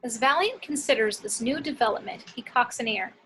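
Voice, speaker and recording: Bright highish female voice, scripted narration, stage directions, some background noise. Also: animated, fairly quick, rough recording. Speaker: narrator of action descriptions. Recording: clear background noise, home recording.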